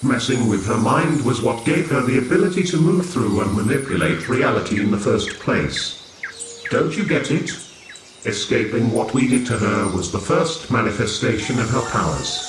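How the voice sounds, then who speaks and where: A man's voice: medium pitch, lively intonation, moderate pace, lightly acted. A man playing a wary, argumentative hero with an insistent manner in a comic dub. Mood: urgent, wary. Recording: roomy, noisy with birds and crickets.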